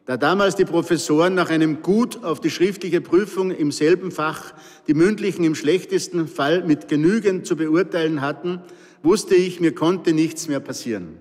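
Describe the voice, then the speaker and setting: A man's voice: on the high side, normal speed, darkish tone. An older man with an Austrian Pinzgau accent telling and reading wry, dryly humorous stories to listeners. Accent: Austrian, Pinzgau region. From Pinzgau, Salzburg, Austria. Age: 60s or older. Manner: wry, dry humour. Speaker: storyteller, author reading own stories. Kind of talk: live anecdotes and reading to an audience. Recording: echoey hall with long reverb.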